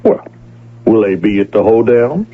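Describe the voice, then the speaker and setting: An easygoing cowpuncher turned peddler, heard through a thin, phone-like old radio broadcast with music. He has a deep Western American drawl that swings widely in pitch. He talks in a folksy, kindly, coaxing way, in classic radio drama style. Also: expressive, normal speed. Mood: gentle, encouraging.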